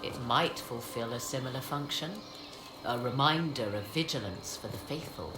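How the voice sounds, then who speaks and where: A woman's voice: a bass voice, moderate pace, noisy background. An actress playing a haughty, knowing witch in a video game, speaking in formal, archaic, sardonic phrases. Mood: assured. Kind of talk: dramatic video game voice acting. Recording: echoey game audio under music.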